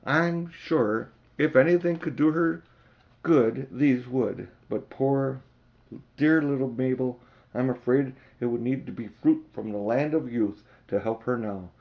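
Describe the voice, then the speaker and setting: Storybook aunt, played by an older man: sorrowful, gently fretting, lingering on words with sighing emphasis. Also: medium pitch, a little slow, natural voice, low-quality recording. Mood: mournful.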